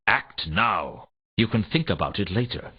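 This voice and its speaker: Sardonic private eye, world-weary, husky, deadpan and pressing, noir video game, muffled old console sound. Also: male voice, fairly low pitch, a little slow, exaggerated voice. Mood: pressuring, sly. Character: world-weary, sardonic hard-boiled male private detective.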